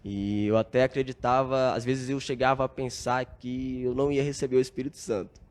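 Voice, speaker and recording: A man's voice: mid-range voice, level tone, a little fast, darkish tone. Earnest young Brazilian man telling his story. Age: young adult. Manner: earnest, reflective, with frequent filler tags. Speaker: podcast guest. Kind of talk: casual storytelling interview.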